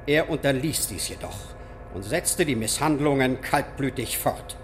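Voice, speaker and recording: An actor playing a stern judge delivering a verdict in formal, measured tones in a radio play. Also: male voice, medium pitch, slight background noise, lightly acted.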